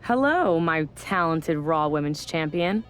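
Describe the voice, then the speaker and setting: A woman playing a scheming, flattering wrestler in a video game cutscene, with a wheedling, theatrical manner. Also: sits low, unhurried, a little hiss or hum.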